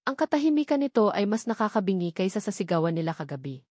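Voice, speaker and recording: Female narrator for dramatic love stories. Solemn, suspenseful, measured delivery, mid-range voice, very dry recording. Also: medium pitch, big pitch swings, darkish tone, husky, no room sound. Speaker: narrator of a romance drama story. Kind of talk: scripted dramatic story narration.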